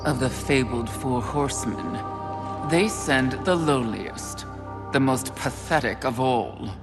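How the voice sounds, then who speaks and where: An actor playing a haughty, contemptuous embodiment of sin in a video game, pompous, sneering and theatrical. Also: male voice, medium pitch, takes it slowly, in a large hall, music in the background. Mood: scornful. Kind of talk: dramatic video game acting.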